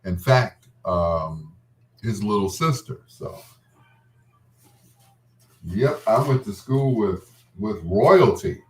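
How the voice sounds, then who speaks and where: A man's voice: fairly low pitch, expressive, takes it slowly, pauses often, poor audio. A man hosting a radio show, telling personal stories in a casual, rambling, reminiscing way. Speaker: radio host. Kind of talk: casual storytelling between love songs.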